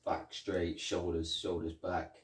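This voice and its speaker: A man's voice: low voice, monotone, slow, recorded from a distance. A man co-hosting a casual movie review podcast, chiming in with short agreeing remarks. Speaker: podcast co-host. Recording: echoey room, off-mic.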